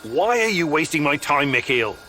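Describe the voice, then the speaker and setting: A man's voice: a little high, very animated, normal speed, sharp tone, a little hiss or hum. An actor playing a serious, weary male mission leader in a video game, with a dry, earnest, terse manner. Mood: irritated. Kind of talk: video game character acting.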